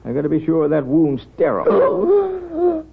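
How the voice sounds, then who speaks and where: A folksy small-town doctor in a 1930s radio drama, kindly and wry. His voice creaks and rasps, swinging widely in pitch. The recording is thin and tinny. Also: huge pitch swings, exaggerated voice. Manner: folksy, wry, unhurried, hesitant drawn-out asides.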